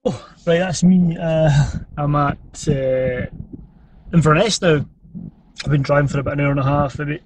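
A man's voice: a little hiss or hum, rough recording. A Scottish man in his forties chatting to camera from inside a car at night, rambling with small laughs. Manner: hushed and low at bedtime. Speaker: vlogger. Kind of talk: casual vlog to camera. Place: lying in bed in the back of a car. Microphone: camera on dashboard close up.

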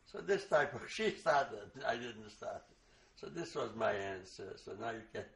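An older man, a native Hungarian speaker, giving oral history testimony in a halting, reflective way with many restarts. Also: fairly low pitch, expressive, creaky, a bit bright, a little hiss or hum. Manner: slow, reflective recall. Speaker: interviewee.

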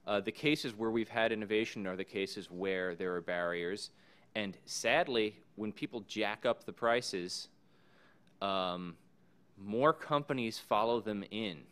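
A man in his forties giving measured, thoughtful panel remarks from a podium in a conference room, pausing with ums. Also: lowish voice, fairly bright tone. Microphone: podium microphone.

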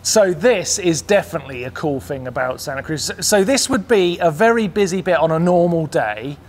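A man's voice: fairly high pitch, animated, moderate pace, faint music behind. A British man hosting a factory tour, joking and teasing as he chats with a guide. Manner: jokey, teasing, laughs often. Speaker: host. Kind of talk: casual factory tour chat. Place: warehouse and outdoors at a factory.

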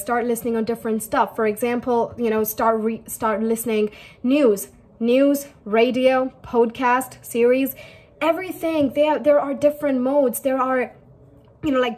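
A woman's voice: fairly high pitch, a little mellow. A young woman, teaching viewers in a chatty way and often repeating her points and asking them questions. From India. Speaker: English tutor. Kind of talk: casual teaching talk to camera. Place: small indoor room.

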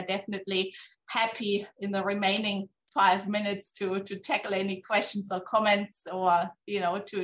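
A woman's voice: mid-range voice, a bit bright, a little hiss or hum. A woman in her thirties giving a keynote talk over a video call from her office. She talks casually and ends many sentences with "right?". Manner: conversational, tags sentences with "right?". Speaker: keynote speaker. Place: office with a poster on the wall. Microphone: laptop webcam on a video call.

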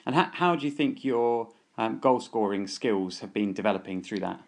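A woman with a strikingly low voice hosts a family podcast. She chats casually and puts questions to the others in a conversational way. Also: fairly even intonation, moderate pace. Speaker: podcast host, parent.

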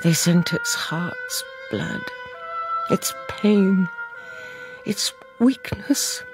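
A woman's voice: medium pitch, exaggerated voice. An actress playing a grieving, regal mother in a historical audio drama, declaiming ominously and mournfully. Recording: loud music behind.

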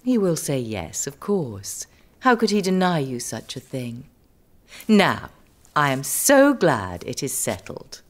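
Casting: a genteel, imperious noblewoman for audio drama; low pitch, lively, coaxing delivery. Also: takes it slowly, full character voice. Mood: breezily insistent. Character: gracious, imperious noble duchess, wife of a duke.

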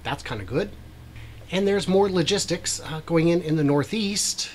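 Man in his forties, slightly high voice, chatty and wry, casual video commentary, faint room hum. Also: lively intonation, moderate pace. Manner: chatty, wry asides, many "uh" fillers. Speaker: YouTube host. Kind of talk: casual commentary over drone footage. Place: small room with a brick wall.

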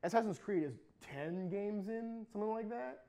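A man in his twenties bantering and debating casually as a talk show panellist, jokey and quick to interject. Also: high-pitched, animated, mellow. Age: 20s. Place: small indoor TV studio.

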